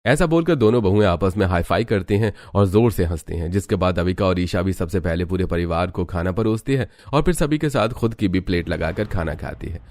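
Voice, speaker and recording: A man with a very low voice narrates a family cartoon story. He delivers scripted storytelling lines as the narrator between the characters' scenes. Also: animated, fairly quick, few pauses, a little mellow, no echo, studio quality.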